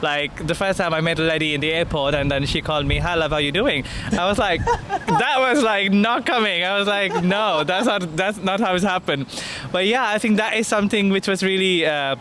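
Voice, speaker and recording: A man's voice: high-pitched, normal speed, few pauses. A young man from India being interviewed outdoors, chatty, laughing often and hesitating with fillers. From India (Kolkata). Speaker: interviewee, MBA student. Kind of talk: casual street interview. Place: outdoors on a city street. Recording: street noise behind the voice.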